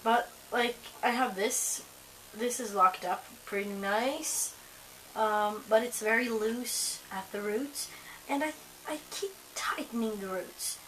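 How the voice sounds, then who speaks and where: A young woman with a hoarse voice from a cold, chatting casually to camera at home, rambling with lots of ums and likes. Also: a little high, takes it slowly, fairly bright tone, slight background noise, poor audio. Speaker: vlogger.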